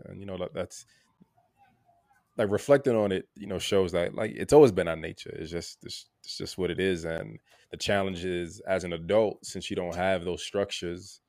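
A man co-hosting a podcast in a casual, reflective way, halting and repeating words, often checking in with "right?". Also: a little mellow, no room sound, studio quality. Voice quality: gravelly low voice. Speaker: podcast co-host.

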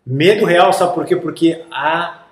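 A man's voice: on the high side, measured pace, reverberant. A man with a Brazilian accent carrying a slight Italian lilt, a guest who tells stories in a casual interview and acts out quotes as he goes. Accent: Brazilian Portuguese, slightly Italian-tinged. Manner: animated storyteller, acts out quotes.

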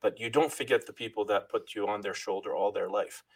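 A middle-aged man from Lebanon with a somewhat low voice, speaking as an interview guest. He talks earnestly and from the heart, circling back and restarting phrases as he goes, and thanks God along the way. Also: fairly quick, breathy edge. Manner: religious, thanks God often. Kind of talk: personal story in an interview.

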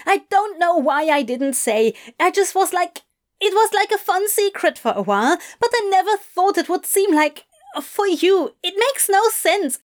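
A distraught, insecure young man, voiced by a woman, pitched high and pleading. He stammers and chokes on his words, full of guilt, in an emotional audiobook scene. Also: very animated, moderate pace. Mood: frantic, guilty.

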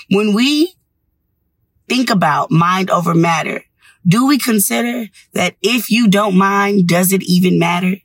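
A woman's voice: mid-range voice, dry recording. A woman hosting a radio show, delivering a scripted motivational monologue in an encouraging, instructive manner.

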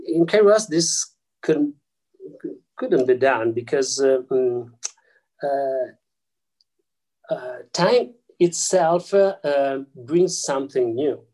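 A man with an Italian accent, a non-native English speaker, explains physics and theology as an interview guest, pausing often with filler sounds and restarting his sentences. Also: mid-range voice, mellow, low-quality recording. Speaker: guest scholar.

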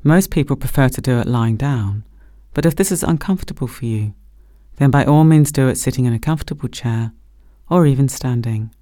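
A man gently leading a guided body scan meditation as a meditation teacher, speaking slowly and soothingly. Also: medium pitch, dry recording, studio quality. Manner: gentle, slow, soothing instruction.

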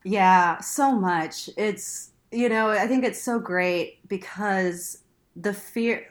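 Texan woman just chatting as a guest, playful n blunt, kinda self-deprecating, sweary, medium pitch. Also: takes it slowly. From Texas, United States. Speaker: interview guest, burlesque performer and instructor. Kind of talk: casual, candid conversation.

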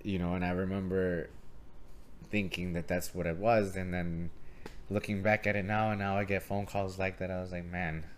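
A man's voice: low-pitched, little pitch movement, normal speed, a little hiss or hum. A middle-aged man, a martial arts coach and gym owner, telling a story in casual chat. He has a strong voice that carries and acts out the arguments he quotes.

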